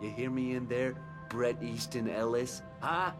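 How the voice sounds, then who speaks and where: An actor playing a drunken, belligerent federal agent in a video game, sneering and mocking. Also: male voice, medium pitch, steady tone, unhurried, over music, natural voice. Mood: gloating, mocking. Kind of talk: video game cutscene acting.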